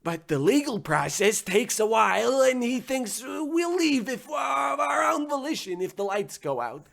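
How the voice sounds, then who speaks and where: A gossipy tenement worrier played by a man with a high, crackly voice. He complains wordily and treats everything as doom, in the mock-dramatic style of old radio plays. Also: big pitch swings, normal speed, vocal fry, a bit bright, full character voice. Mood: worried. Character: gossipy, worrying, doom-laden male tenement neighbour. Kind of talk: parody of old-time radio acting.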